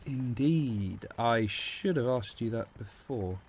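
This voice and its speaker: A man acts a young gentleman in a Shakespearean audio play. He is lovelorn and wry, declaiming his lines at a middling pitch. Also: animated, slow pace, slightly rough, full character voice. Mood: curious, declaiming. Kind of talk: Shakespearean audio drama acting.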